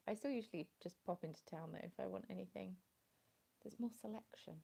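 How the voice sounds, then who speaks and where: Gravelly woman chatting live. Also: on the low side, warm tone. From England. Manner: rambling, chatty, greeting viewers by name. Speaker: craft live streamer. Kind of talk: casual live stream chat. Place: at home in the evening, dim light. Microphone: phone with a small plug-in mic.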